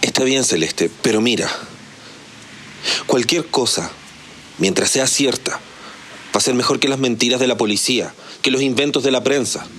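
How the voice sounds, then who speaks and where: Male actor: grieving man seeking answers, emotional, halting delivery, medium pitch, audio drama. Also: fairly bright tone, slight background noise, close to an everyday voice. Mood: bitter grief.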